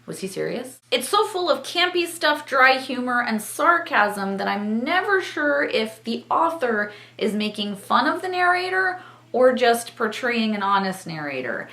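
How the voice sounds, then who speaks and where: A woman presents book reviews to her vlog viewers with a bright, forward voice. Her delivery is theatrical and exuberant, swinging widely in pitch. The room adds an audible echo around her words. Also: very animated, unhurried. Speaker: book-review vlogger and host. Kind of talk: vlog book review with passages read aloud.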